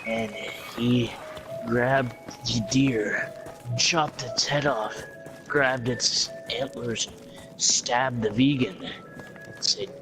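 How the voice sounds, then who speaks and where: Guy making up a funny story for his friends, slow mock-serious delivery, loud music playing behind him. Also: medium pitch, fairly even intonation, rough recording. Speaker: storyteller and organiser in an improv story game with friends. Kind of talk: improvised comic storytelling among friends.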